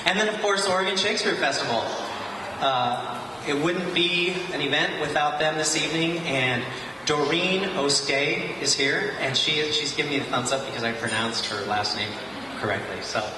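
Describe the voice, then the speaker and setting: A wealth advisor giving an informal welcome speech at an evening event, pausing on fillers. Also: male voice, a little high. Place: large echoey venue, a car showroom. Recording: echoey hall from far off, noisy.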